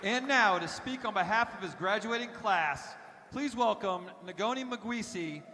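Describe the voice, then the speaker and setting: A man with an extremely high voice reads a formal introduction at a ceremony. Long hall reverb surrounds him, with a crowd behind. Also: lively intonation, a little slow. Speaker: ceremony announcer. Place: large ceremony hall, at a podium. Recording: reverberant hall, crowd, loud background noise.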